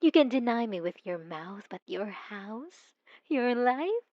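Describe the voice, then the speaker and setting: A woman playing an obsessive, possessive young stalker in an ASMR roleplay, talking softly and intimately with teasing giggles. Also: on the high side, very animated, takes it slowly, dry recording, dull audio. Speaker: narrator speaking to the listener.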